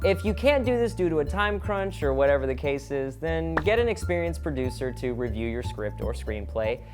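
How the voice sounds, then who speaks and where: A man's voice: a little high, animated, normal speed, over music. A man hosting a comedic vlog, explaining things in a scripted, instructional way with casual joking asides. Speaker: vlog host.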